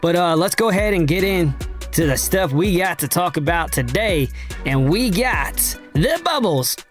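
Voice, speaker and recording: A man hosting a casual crypto trading livestream, slangy and hyped, his voice raspy and hoarse from a cold. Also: high-pitched, lively intonation, moderate pace, soft background music. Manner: slangy, hyped, addresses chat directly. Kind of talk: casual livestream market talk.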